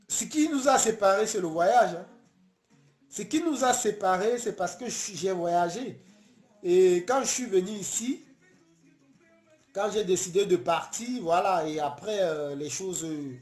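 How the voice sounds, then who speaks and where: A man from Cameroon, hosting a casual late-night live stream, talking earnestly and passionately with many halting restarts. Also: high voice, roomy sound.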